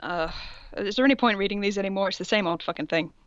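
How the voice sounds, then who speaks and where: A woman's voice: mid-range voice, quick pace, no room sound, lo-fi sound. A woman co-hosting a comedic read-aloud, amused and breaking off for mocking asides. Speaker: co-host reading fan fiction aloud.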